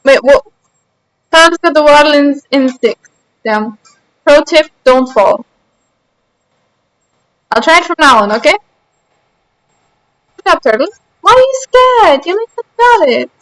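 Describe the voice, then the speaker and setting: High-voiced Austrian woman streamer. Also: very animated, measured pace, pauses often, fairly bright tone, low-quality recording. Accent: Austrian German. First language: German. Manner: chatty, answers chat, self-deprecating. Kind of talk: casual livestream chat while gaming.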